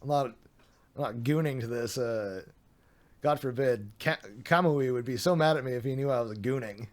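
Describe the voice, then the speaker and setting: A man's voice: medium pitch, normal speed. A man streaming, reading a document aloud with sarcastic, mocking asides, his voice getting a little worn.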